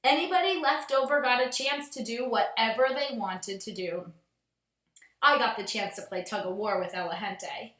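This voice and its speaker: Female storytime reader, schoolteacher type, expressive and bright, echoey home recording. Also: fairly high pitch, normal speed. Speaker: schoolteacher reading aloud to pupils. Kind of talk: children's storybook read-aloud.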